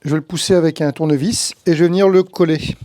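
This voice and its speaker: High-pitched Frenchman with a mellow tone. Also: steady tone, fast talker. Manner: conversational, thinking aloud while handling gear. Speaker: tutorial host, tree-work professional. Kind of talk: informal hands-on tutorial, explaining step by step.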